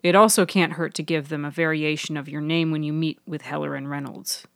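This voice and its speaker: A woman voicing a reserved, cautious man in a fiction podcast, measured and understated. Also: deep voice, fairly even intonation, creaky, fairly bright tone, no echo, clean studio sound. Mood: earnest. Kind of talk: audiobook character voice.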